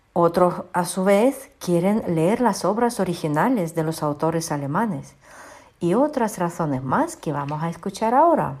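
A woman hosts a radio segment with a rather low voice. She speaks as a presenter addressing listeners. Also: darkish tone. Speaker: radio show host.

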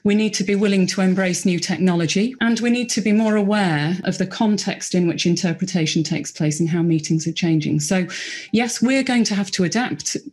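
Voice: mid-range voice, moderate pace, darkish tone. A conference speaker builds an argument through repeated phrases, sounding emphatic. The voice sits in a middle range. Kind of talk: speech.

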